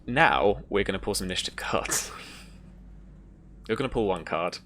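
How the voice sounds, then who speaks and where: A game master narrating a live tabletop horror game in an improvised, halting way, pausing to search for words. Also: low-pitched, lively intonation, a bit bright, slight background noise.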